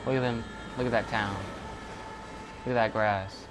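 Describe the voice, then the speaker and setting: Low-voiced man doing casual gaming commentary. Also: steady tone, moderate pace, noisy background. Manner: rambling, thinking aloud. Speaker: gaming YouTuber. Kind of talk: casual let's play commentary. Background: game music.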